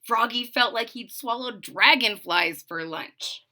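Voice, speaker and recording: A woman's voice: a little high, measured pace, bright, forceful tone. A woman reading a children's storybook aloud, expressively, with explanatory asides to young listeners. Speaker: storybook reader.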